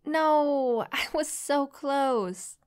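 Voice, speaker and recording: A woman playing a friendly, curious young succubus in an intimate roleplay audio drama, with a soft, playful, inquisitive manner. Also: high-pitched, lively intonation, very slow, exaggerated voice. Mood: playful, competitive. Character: friendly, curious young female succubus new to human life.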